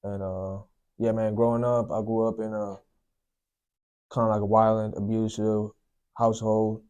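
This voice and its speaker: A young man from Sri Lanka, a guest telling his life story in a soft, unhurried way, often filling with "you know, man". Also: on the low side, flat delivery, clear, resonant tone, muffled recording. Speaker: guest sharing testimony. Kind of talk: personal testimony, casual storytelling.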